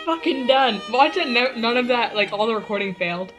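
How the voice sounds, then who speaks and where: A woman's voice: on the high side, lively intonation, a little fast, a bit bright. A young woman co-reading fan fiction aloud, playful, with laughing asides. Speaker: co-reader. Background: faint classical music.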